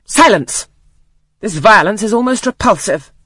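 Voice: mid-range voice, sing-song, normal speed, fairly bright tone, no echo, studio quality, pitched above the performer's own voice. A reader voicing a cold, commanding aunt. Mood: severe. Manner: peremptory, clipped, severe. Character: stern, cold aunt, a commanding older woman. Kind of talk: audiobook character reading.

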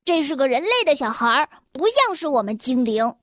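A woman's voice: high-pitched, big pitch swings, brisk, fairly bright tone, slight background noise. A woman voicing nosy, grumbling little elves in a children's story. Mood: grumbling, wistful. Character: band of peeved, gossiping little elves.

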